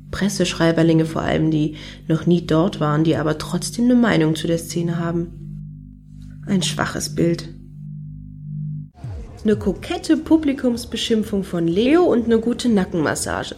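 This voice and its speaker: An actress playing a wry, self-indulgent clubgoer in a comic radio play, talking articulately and with irony. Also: female voice, lowish voice, lively intonation, a little slow, dark tone. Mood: wry, musing. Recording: music behind the voice.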